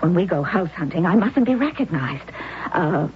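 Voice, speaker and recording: A determined young wife on a tinny old radio drama. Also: female voice, lowish voice, big pitch swings, moderate pace, a little hiss or hum. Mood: commanding. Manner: intense, urgent, persuasive. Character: determined young wife Susan faking her death. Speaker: narrator and lead character. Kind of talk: 1970s radio drama acting.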